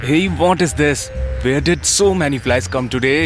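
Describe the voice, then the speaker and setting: A man's voice: medium pitch, brisk, over music, lightly acted. A man playing a sly, miserly dairy shopkeeper and bossy master in a moral-story cartoon. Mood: alarmed.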